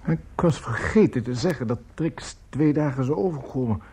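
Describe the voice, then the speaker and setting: An actor playing a bitter, world-weary older father in a theatrical radio drama, with a gravelly, husky voice and a ponderous manner. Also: mid-range voice, lively intonation, normal speed, warm tone.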